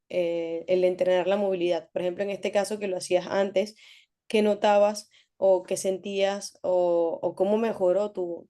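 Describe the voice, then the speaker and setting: A woman coach leads a casual interview in a warm, mellow voice. She asks friendly prompting questions and rephrases them several times over. Her pitch sits in a middle range.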